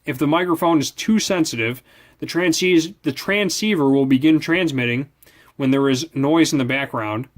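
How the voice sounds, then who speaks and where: A man's voice: fairly high pitch, normal speed. A man reviewing a product, reading its manual aloud with casual asides, often stumbling over and repeating words.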